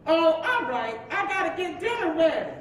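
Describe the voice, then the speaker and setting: A woman acting a sassy, chatty married friend from the 1950s in a staged play reading, telling things in an animated, gossipy way with folksy endearments. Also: moderate pace. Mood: teasing. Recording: echoey hall from far off, faint rumble.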